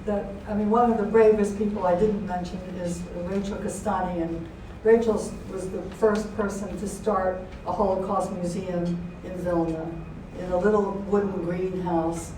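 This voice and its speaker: An older woman, an author speaking to an audience in a halting, reflective manner. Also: mid-range voice, steady tone, dark tone. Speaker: author and poet giving a talk. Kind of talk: informal talk and poetry reading to an audience. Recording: very echoey room, distant mic, faint noise.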